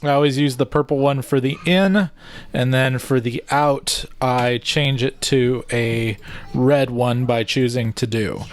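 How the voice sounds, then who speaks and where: A man's voice: medium pitch, steady tone, measured pace, clean tone, soft background music. A man speaks in a mid-range voice with an informal vlog delivery. He explains his tips and plans casually, rambling a little as he thinks aloud to his viewers. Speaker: vlogger, video editor. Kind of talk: casual vlog talk and tutorial.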